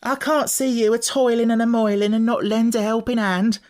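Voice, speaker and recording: A man's voice: very high-pitched, flat delivery, moderate pace, a little mellow, pitched above the performer's own voice. A man voicing a flustered, tearful young working-class housemaid who rambles in ungrammatical servant speech for an audiobook. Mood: tearful, protesting. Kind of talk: audiobook character acting.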